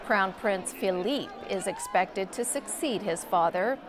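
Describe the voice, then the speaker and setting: A woman's voice: mid-range voice, measured pace, background noise. A woman reading scripted television news as the anchor.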